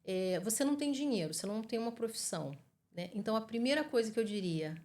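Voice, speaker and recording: A woman's voice: on the high side, expressive, quick pace, darkish tone. A Brazilian woman in her forties with a Brazilian Portuguese accent, a psychologist giving earnest, explanatory interview answers and often adding tag questions. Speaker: guest psychologist.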